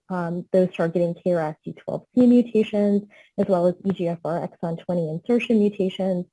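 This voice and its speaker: A woman oncologist giving a medical webinar lecture, explaining clearly, a little hoarse. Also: mid-range voice, dark tone, dry recording, poor audio. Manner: clear explanatory tone, asks for next slide. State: slightly losing her voice.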